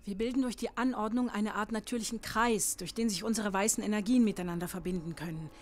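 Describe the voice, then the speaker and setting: A woman's voice: mid-range voice, fairly even intonation, fast talker, darkish tone, a little hiss or hum, close to an everyday voice. A woman playing an anxious, protective middle-aged mother in a horror audio drama, earnest and commanding. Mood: soothing, earnest.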